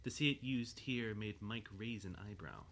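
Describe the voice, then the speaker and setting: Low-voiced male storyteller. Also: moderate pace, fairly bright tone, a little hiss or hum. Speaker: narrator. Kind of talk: scripted audio drama narration.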